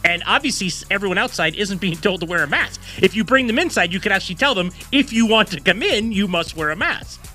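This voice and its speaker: A man co-hosting a sports talk show, rambling and cracking jokes. His fairly high, bright voice swings a lot in pitch, and faint music plays behind him. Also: quick pace, few pauses. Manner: animated, rambling, jokey.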